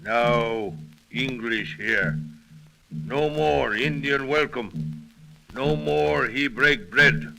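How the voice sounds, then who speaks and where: An actor playing a proud, grave war chief who speaks terse, halting broken English in a 1930s radio drama. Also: male voice, mid-range voice, expressive, creaky, over music, full character voice, poor audio. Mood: stern, aggrieved.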